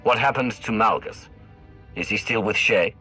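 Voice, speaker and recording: A man's voice: fairly low pitch, bright, forceful tone, breathy edge, faint music behind. A man playing a coolly menacing Sith in a video game, speaking with controlled authority. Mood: probing. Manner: controlled, authoritative, measured threats. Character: commanding, coolly menacing male Sith agent. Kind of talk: video game cutscene acting.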